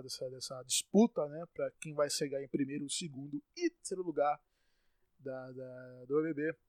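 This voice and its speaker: A Brazilian man hosting his own podcast, ranting in exasperation, with sudden loud outbursts and stumbling, repeated words when he gets worked up. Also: on the high side, no room sound. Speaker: podcast host. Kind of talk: solo podcast rant.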